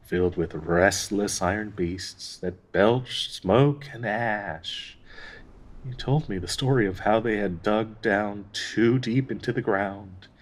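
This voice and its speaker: A man acts out a tender male dryad in a fantasy audio roleplay, grieving and devoted. The voice is fairly low and quite husky, with a lot of breath in it. He speaks slowly and haltingly, wistful and in need of reassurance. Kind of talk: intimate dramatic audio roleplay monologue.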